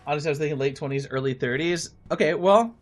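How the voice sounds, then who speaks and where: A man's voice: a little high, fast talker, music in the background. A man in his late thirties chatting casually and in a friendly way with viewers while he plays a game on a livestream, often thinking aloud. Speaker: live streamer playing a game.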